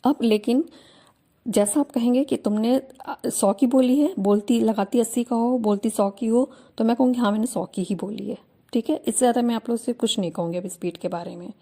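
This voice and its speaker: A young woman who teaches shorthand, reading a dictation passage in slow, measured word groups, then talking casually and firmly to her students. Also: on the high side, mellow. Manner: measured, deliberate phrasing with pauses between word groups; firm, earnest, mildly aggrieved. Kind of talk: casual direct address to students.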